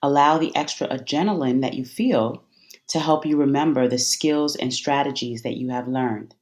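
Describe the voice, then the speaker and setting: A meditation guide giving soothing, measured instructions with deliberate pauses between phrases. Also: medium pitch.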